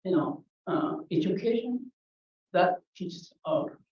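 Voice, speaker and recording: A woman from Ethiopia with an Ethiopian accent, giving an unscripted conference talk in a halting way, full of restarts and fillers. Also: fairly low pitch, animated. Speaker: conference speaker. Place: conference hall. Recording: muffled, echoey, distant from the mic.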